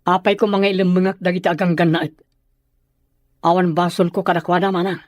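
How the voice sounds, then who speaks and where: A man's voice: high-pitched, level tone, fairly quick, natural voice. An actor playing an earnest, defiant young village fisherman, plain-spoken, in Filipino radio drama style.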